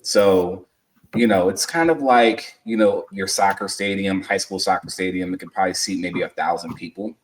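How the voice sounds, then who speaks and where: Man, thirties, deep voice, laid-back podcast chat, heard a little off-mic. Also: normal speed, recorded from a distance, slight background noise, rough recording. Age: 30s. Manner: rambling, filler-heavy storytelling. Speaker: sports podcast co-host.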